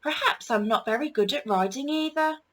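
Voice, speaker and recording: An eager young storybook boy, acted out by a woman reader, high and swooping in pitch. He is excitable and exclaims freely, yet is easily discouraged. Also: brisk. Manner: exclamatory, expressive. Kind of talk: children's picture book character voice.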